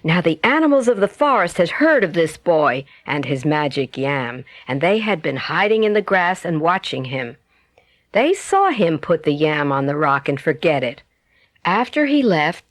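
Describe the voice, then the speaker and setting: A woman narrating a children's bedtime story as a storyteller, with expressive, dramatic and carefully phrased delivery. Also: sits low, a little slow, dry recording. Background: music.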